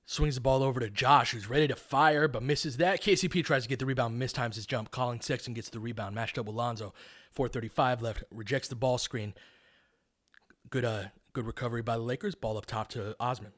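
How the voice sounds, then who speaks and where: A man's voice: mid-range voice, fairly even intonation, a little fast, fairly bright tone. A man calling live basketball play-by-play in a casual, conversational, analytical way. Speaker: play-by-play host.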